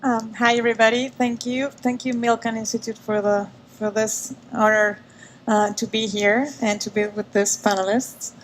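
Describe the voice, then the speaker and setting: Even-toned woman on a panel. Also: on the high side, moderate pace, poor audio. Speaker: finance executive, panelist. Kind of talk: spoken self-introduction on a panel. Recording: faint background noise, live panel.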